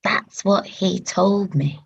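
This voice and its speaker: A woman playing a grieving but resolute female disciple who recalls her rejection in a halting dramatic monologue with long pauses. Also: mid-range voice, gravelly, breathy edge, highs cut off, full character voice. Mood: pained, wondering.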